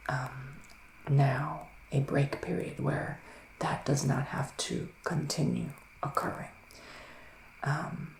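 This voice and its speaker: A man playing a gentle psychic witch in an ASMR roleplay, speaking in a soft, musing whisper with long pauses. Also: on the high side, vocal fry, reverberant, slight background noise, rough recording. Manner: slow, hushed, musing, with drawn-out pauses. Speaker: ASMR performer giving a psychic reading.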